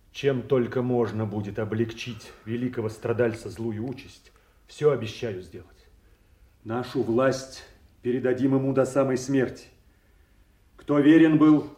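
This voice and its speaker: An actor playing a noble, dutiful duke who takes solemn command, formal and measured in classical stage style. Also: male voice, mid-range voice, warm tone, natural voice. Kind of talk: classical theatrical audio drama acting. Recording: roomy, echoing stage-like sound.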